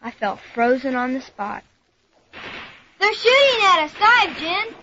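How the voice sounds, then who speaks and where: A woman's voice: very high voice, big pitch swings, unhurried, bright, forceful tone, a little hiss or hum, exaggerated voice. A woman playing an earnest, frightened young boy who narrates an adventure story dramatically. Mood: tense storytelling, then frightened, urgent. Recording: dull lo-fi recording, music behind.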